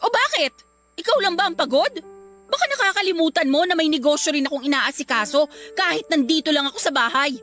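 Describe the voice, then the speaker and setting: A woman's voice: sits high, animated, quick pace, pushed and bright, faint music behind. A woman with a Filipino accent playing a fed-up wife and caring mother in a radio drama. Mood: angry, resentful.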